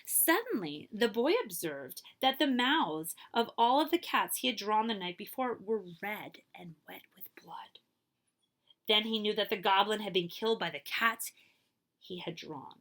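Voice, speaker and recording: Woman, bright forward voice, children's read-aloud, expressive, suspenseful, hushed drops, stretched key phrases. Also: mid-range voice, unhurried. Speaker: librarian reading aloud.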